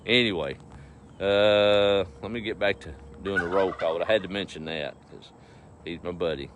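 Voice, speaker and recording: Deep-voiced east texas farm guy, laid back drawl, chatting outside with faint noise behind. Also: a little slow, long pauses. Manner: folksy drawl, talks to animals, easygoing. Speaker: farm livestream host. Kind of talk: casual livestream chat. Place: outdoors on a farm. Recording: faint outdoor background noise.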